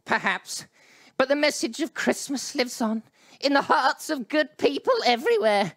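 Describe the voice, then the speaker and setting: A man voicing a villainous male elf leader in a live radio play, theatrical and given to shouted curses. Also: very high-pitched, moderate pace. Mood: wistful. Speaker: voice actor in a charity-stream radio play.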